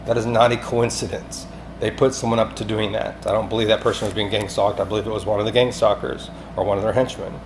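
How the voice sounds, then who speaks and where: A man's voice: lowish voice, level tone, fast talker. A man leaving a rambling voicemail, pleading in an agitated, insistent, repetitive way with some swearing. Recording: clearly noisy amateur phone-call recording.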